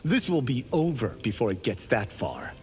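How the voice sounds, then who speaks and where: An actor voicing a boastful, vain young monk in a video game. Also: male voice, medium pitch, big pitch swings, normal speed, a little hiss or hum, natural voice. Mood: cocky.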